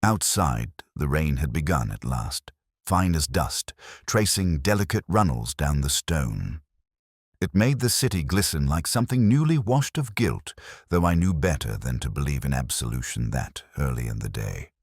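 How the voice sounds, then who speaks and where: A man reading a first-person literary detective mystery in a measured, reflective, melancholy way. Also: extremely low pitch, very animated, breathy edge, dry recording, studio quality.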